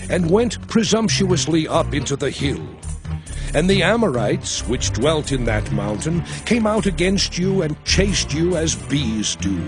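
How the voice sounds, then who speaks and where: Moses, a solemn old prophet, recounts in a very slow, lively-pitched voice. Music plays clearly beneath his narration in this scripture drama. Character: Moses, aged solemn male prophet and leader. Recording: studio voice over music.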